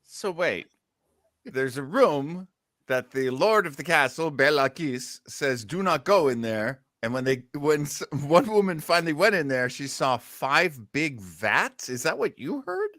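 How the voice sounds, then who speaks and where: A man's voice: a little high, big pitch swings, a little slow, fairly bright tone, dry recording, clean studio sound. A man hosting a podcast, chatting casually and commenting on old radio shows. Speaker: podcast host.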